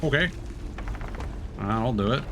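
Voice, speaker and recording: Low-voiced man rambling over game music. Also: animated, slow. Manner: rambling, many fillers and restarts. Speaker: streamer. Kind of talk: casual gameplay commentary.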